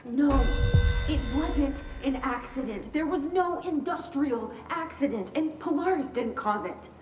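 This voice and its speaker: An actress playing a troubled, earnest young female patient in a game, halting yet intense and determined. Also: high-pitched, animated, over music. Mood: insistent, agitated. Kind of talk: video game cutscene acting. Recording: roomy, distant, noisy.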